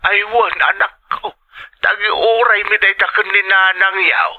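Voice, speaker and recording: A man's voice: sits high, little pitch movement, measured pace. A man playing an affectionate, grateful older father heard through a telephone filter in an audio drama. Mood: fond, grateful. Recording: dull, muffled telephone-like sound.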